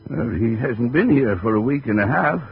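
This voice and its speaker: An American man playing a mild, obliging, worried apartment manager in a 1950s radio drama, with a hesitant, creaky delivery. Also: mid-range voice, animated, normal speed. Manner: hesitant, rambling, concerned. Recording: noisy, dull old radio recording.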